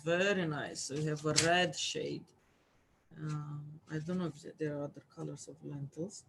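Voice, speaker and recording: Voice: mid-range voice, steady tone, moderate pace, creaky, a bit bright, slight background noise. An art class instructor from Romania, talking viewers through a craft project in a casual, hesitant way with lots of fillers. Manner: hesitant, many fillers, chatty asides to viewers.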